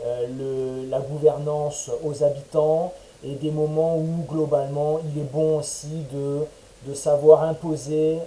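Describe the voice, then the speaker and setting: A man's voice: on the high side, mellow, roomy sound, rough recording. A man from mainland France answering interview questions over a call, reflective and hesitant, with many fillers and restarts. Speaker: interviewee, smart-city entrepreneur.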